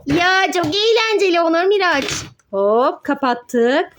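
A woman's voice: very high voice, expressive, unhurried, a bit bright, a little hiss or hum. A woman narrating pretend play for children as host, coaxing and giving simple step-by-step instructions like a mother to her child.

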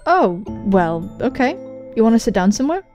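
A young woman, a gaming host, reading visual novel text aloud and commenting on it in a jokey, animated way with casual swearing. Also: a little high, moderate pace, lo-fi sound, faint music behind. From England. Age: young adult. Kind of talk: let's play commentary and dramatic reading of on-screen dialogue. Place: indoor room. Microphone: large microphone close to the mouth.

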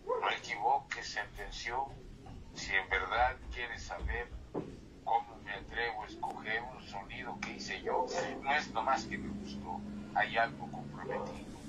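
A man's voice: on the low side, a little slow. An older man, a poet, reciting verse with whispered refrains and then chatting about his books over a phone line. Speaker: poet and guest. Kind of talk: phone-in interview and poetry reading. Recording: tinny phone line with faint hiss.

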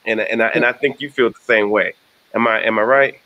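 A man's voice: fairly low pitch, steady tone, a little fast, sharp tone, lo-fi sound. A middle-aged American man hosting a video-call interview, earnest and stammering through restarts. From United States. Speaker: host. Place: indoor room.

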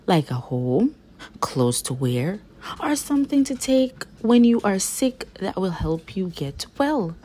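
A woman reading a children's picture book aloud as a storyteller, with gentle, deliberate, emphatic phrasing. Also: fairly low pitch, breathy edge.

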